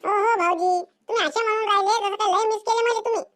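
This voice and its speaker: A performer voicing a chatty young visiting sister-in-law in a comedic cartoon, bright-voiced, with a rural Maharashtrian Marathi accent. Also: very high voice, steady tone, fast. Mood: chirpy teasing. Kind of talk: comedic cartoon skit dialogue.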